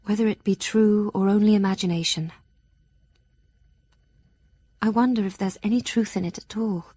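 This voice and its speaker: An actress playing an earnest, anxious young Victorian gentlewoman in an audio drama, narrating her journal in a formal, heartfelt, measured way. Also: medium pitch, no echo, natural voice. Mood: troubled.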